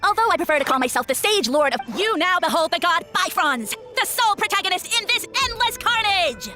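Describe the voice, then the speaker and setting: A woman's voice: very high-pitched, expressive, a little fast. A woman voicing a grandiose, blustering little mushroom god, a scheming villain in a video game. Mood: haughty. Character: pompous tiny mushroom god, a scheming self-proclaimed overlord. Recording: game music behind.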